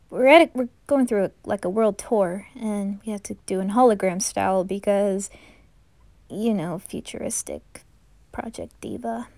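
A woman's voice: mid-range voice, vocal fry, a little mellow, dry recording. A woman voicing a shy, earnest teenage singer boy with a hesitant manner in a hobby anime audio drama. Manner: hesitant, halting.

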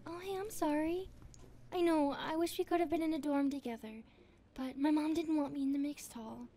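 Woman doing a anxious college grasshopper girl, really high mellow voice, chatty n unsure, asmr roleplay. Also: moderate pace, slight background noise. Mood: reassuring. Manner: hesitant, chatty. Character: nervous, self-doubting young grasshopper girl starting university. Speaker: roleplay voice actress.

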